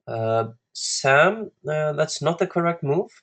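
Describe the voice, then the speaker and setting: A man from Iceland with an Icelandic accent, teaching a live online lesson as a coach in a halting, deliberate way with long pauses. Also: on the high side, lively intonation, a bit bright. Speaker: guest chess coach. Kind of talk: live online lesson, talking through puzzles to students.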